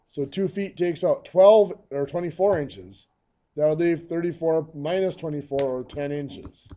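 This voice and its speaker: A hoarse, coughing man teaching a math lesson, walking through each step patiently. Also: on the high side, measured pace, dark tone, a little hiss or hum.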